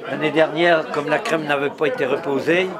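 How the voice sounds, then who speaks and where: A man at a fair slowly explaining how butter is churned. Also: fairly high pitch, fairly even intonation. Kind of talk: explaining a demonstration. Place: outdoor fair. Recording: distant voice, loud crowd.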